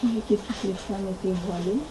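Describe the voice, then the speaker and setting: A shy woman from Congo speaks softly to a Bible study group. Her voice is pitched in the middle for a woman. There is steady noise behind her. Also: brisk, poor audio. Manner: shy, soft-spoken. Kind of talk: casual group conversation.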